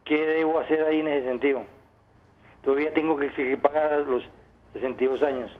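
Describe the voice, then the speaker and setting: A man's voice: fairly high pitch, fairly even intonation, a little fast. A man, a caller on the phone asking a hesitant question and repeating himself. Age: 50s. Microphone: telephone. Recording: tinny phone line with studio noise.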